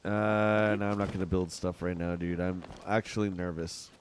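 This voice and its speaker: A man's voice: sits low, little pitch movement, moderate pace, a little hiss or hum. A middle-aged man with a Canadian accent, chatting casually with his chat while playing a game on a live stream at home. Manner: rambling, chatty, answers chat.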